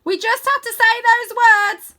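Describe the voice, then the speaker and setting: A woman voicing a cocky, joking young boy adventurer in a storybook, calling out loudly and theatrically. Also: very high-pitched, fairly even intonation, unhurried, pitched above the performer's own voice, heavily acted. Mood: confident.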